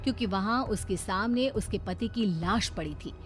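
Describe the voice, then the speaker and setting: A woman's voice: medium pitch, moderate pace. A woman giving a scripted narration of a story.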